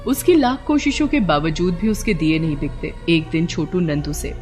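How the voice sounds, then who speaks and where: Story narrator over soft music. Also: mid-range voice, sing-song, normal speed. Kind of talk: scripted Hindi moral story narration.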